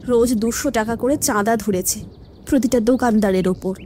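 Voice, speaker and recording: A woman's voice: on the high side, a little fast, warm tone, a little hiss or hum, close to an everyday voice. A woman playing a worried, timid village wife in a cartoon, plaintive and confiding. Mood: complaining. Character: worried, timid village wife gossiping about an extortionist headman. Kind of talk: Bengali cartoon story dialogue.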